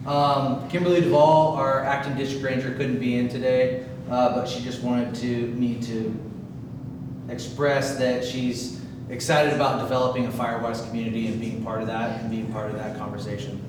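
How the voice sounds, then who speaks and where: A man's voice: mid-range voice, level tone. Hesitant man speaking in an echoey hall. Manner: halting, frequent ums and restarts. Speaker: fire management specialist. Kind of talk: town hall presentation. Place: community meeting hall. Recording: echoey hall, distant, noisy.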